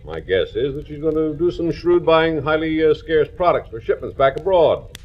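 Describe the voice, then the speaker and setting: An actor playing a haughty, self-assured older bank director, brusque and gruff in manner, in 1940s radio drama style. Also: male voice, a little high, expressive, moderate pace. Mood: indignant, brusque. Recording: muffled old radio recording.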